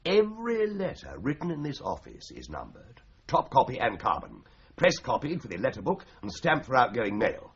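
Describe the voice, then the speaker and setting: A man's voice: medium pitch, huge pitch swings, normal speed. A breezy English solicitor in a 1980s radio play. His delivery swoops theatrically, droll and mock-pompous. The sound is a dull old broadcast with faint noise. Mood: drily instructive. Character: breezy, flippant, theatrical solicitor in a stuffy London office. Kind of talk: 1980s radio drama acting.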